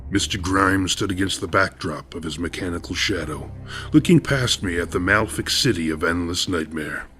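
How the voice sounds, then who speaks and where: A man with a very low voice narrates a horror audio drama. He tells the story in a measured, ornate manner. Clear music runs beneath his narration. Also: bright, forceful tone.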